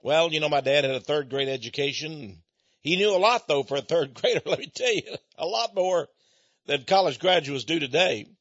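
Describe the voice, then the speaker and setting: A man's voice: fairly high pitch, lively intonation, normal speed, a bit bright, no room sound, dull audio. An American man giving a rambling personal monologue to his listeners, folksy and digressive, with frequent chuckles. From United States. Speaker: speaker addressing listeners.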